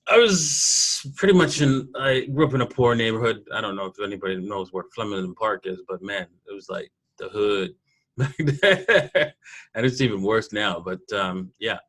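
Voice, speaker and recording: A man, telling personal stories in a casual interview in an animated way. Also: lowish voice, moderate pace. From Toronto, Canada. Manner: animated storyteller, laughs often. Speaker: podcast guest, programmer.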